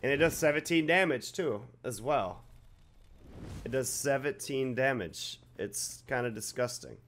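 A young man with a slightly raspy voice giving casual, swearing, excited commentary over a video game he plays at home. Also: medium pitch, slight background noise. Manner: swears freely, excited outbursts and quick reactions. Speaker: gaming YouTuber. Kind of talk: live gameplay commentary. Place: home gaming setup, indoors.

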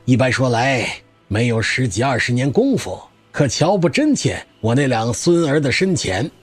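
Old male wanderer for audio drama: folksy, garrulous spear expert, rough-edged husky voice, lively swings. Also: medium pitch, normal speed, soft background music. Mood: curious, polite.

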